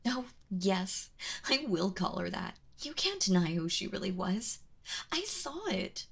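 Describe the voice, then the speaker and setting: A woman playing a kind but obsessive, protective yandere in an intimate ASMR roleplay, speaking haltingly and often dropping toward a whisper. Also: mid-range voice, sing-song, a bit bright, full character voice. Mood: indignant, pained. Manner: hesitant, halting, breathy with drops into whispering.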